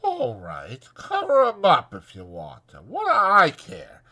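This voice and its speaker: Man putting on a brassy high-pitched scornful young woman. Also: wildly swooping pitch, measured pace, sharp tone, exaggerated voice. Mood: indignant, bitter. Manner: contemptuous, brassy, slangy rural American.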